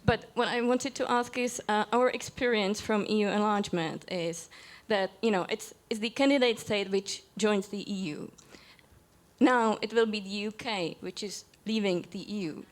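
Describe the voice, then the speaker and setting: A woman's voice: mid-range voice, steady tone, moderate pace. Young woman asking a question. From Czech Republic. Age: young adult. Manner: hesitant, with many fillers. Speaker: audience member asking a question. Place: conference hall.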